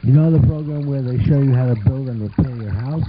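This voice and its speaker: A man voicing a kindly, chatty old grandfather in a puppet show, reminiscing and gently approving. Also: mid-range voice, fairly quick, close to an everyday voice. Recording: muffled, noisy amateur puppet show recording.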